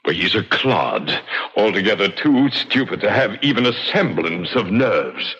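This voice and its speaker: An actor playing a vain centuries-old English ghost in an old radio drama, speaking floridly and savoring every gruesome phrase. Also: male voice, on the low side, very animated, measured pace, sharp tone, breathy edge, full character voice. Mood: indignant. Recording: muffled old radio recording with heavy noise.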